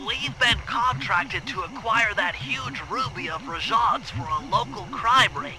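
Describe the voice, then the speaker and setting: A very high-pitched, nasal turtle mastermind, earnest and exacting as a cartoon game character. He lays things out in precise, technical terms over clearly audible music. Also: expressive, unhurried. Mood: businesslike briefing. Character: nerdy turtle gang planner, male, earnest and meticulous. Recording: music behind the voice.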